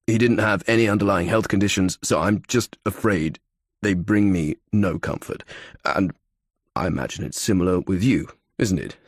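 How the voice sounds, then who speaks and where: Man voicing an anxious young athlete, deep and husky. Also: normal speed, no room sound, studio quality. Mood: fretful, reasoning. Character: anxious young male athlete with health phobia.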